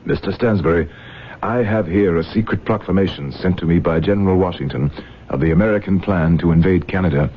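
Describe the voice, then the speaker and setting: An actor playing a proud, bitter Revolutionary War general of thirty-nine in a radio drama. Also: male voice, low-pitched, fairly quick, a little hiss or hum, lightly acted. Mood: grave, secretive. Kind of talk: 1970s radio drama acting. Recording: dull old radio broadcast recording.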